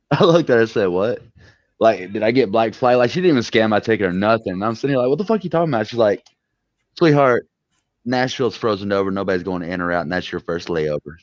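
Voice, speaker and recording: A man's voice: on the low side, animated, fast, no echo. A man swearing his way through a blunt story on a casual live stream. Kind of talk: profane storytelling. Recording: dull lo-fi sound.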